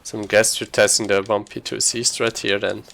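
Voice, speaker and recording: A man commentates casually on his own video game speedrun. His low voice stays very flat, with a gravelly creak, and he mutters along in a low-key way. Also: moderate pace. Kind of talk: casual live gameplay commentary.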